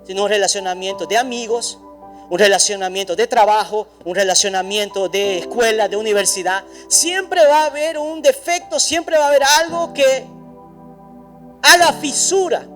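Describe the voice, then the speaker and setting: A man's voice: sits high, normal speed, fairly bright tone, soft background music. A pastor preaching a sermon in a church, emphatic and repetitive, speaking straight to his congregation. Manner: addresses the congregation directly.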